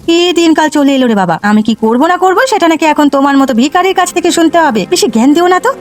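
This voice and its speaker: A woman voicing a haughty, spiteful younger village woman in a cartoon, scornful and sharp-tongued. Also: sits high, lively intonation, very fast. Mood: mocking. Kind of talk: Bengali cartoon voice acting. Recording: music behind the voice.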